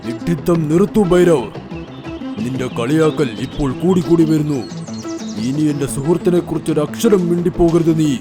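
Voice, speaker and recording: Man as a stately cartoon lion king, fairly high male voice, proud, commanding, clear music behind. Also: moderate pace, lightly acted. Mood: angry. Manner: authoritative, stately. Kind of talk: animated children's fairy tale dialogue.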